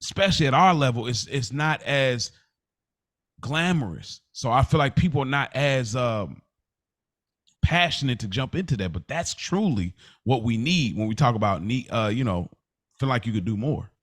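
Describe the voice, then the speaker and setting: A man's voice: medium pitch, lively intonation, normal speed, fairly bright tone, no echo, studio quality. A man chatting casually as a podcast co-host, informal and slangy, often repeating his phrases.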